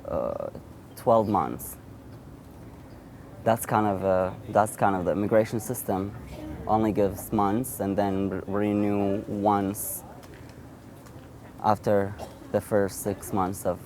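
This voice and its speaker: A man in his thirties from Iraq, an Assyrian speaker, telling his own story in an interview in a halting way, with frequent restarts. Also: lowish voice, darkish tone. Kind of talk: personal storytelling.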